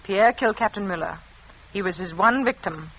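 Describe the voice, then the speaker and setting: A dulled 1940s radio drama recording. a French doctor's wife in the underground, a woman with a medium-pitched, sharp voice. She is tense and steely, pausing deliberately between phrases. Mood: defiant, steely. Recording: muffled, noisy old radio recording.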